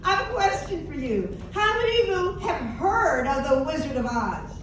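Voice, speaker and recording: A woman on a small theater stage introducing her song to the audience in a bright, theatrical manner. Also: high voice, very animated, moderate pace, over a noisy crowd. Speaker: singer. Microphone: stand mic, heard from far. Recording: echoey stage sound from far.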